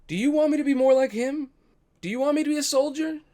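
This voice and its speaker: A man's voice: very high voice, quick pace, warm tone, pitched above the performer's own voice. Man as an exasperated, self-doubting young son. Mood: indignant. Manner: pleading, hesitant, exasperated. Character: frustrated young male archer, self-doubting, indignant son.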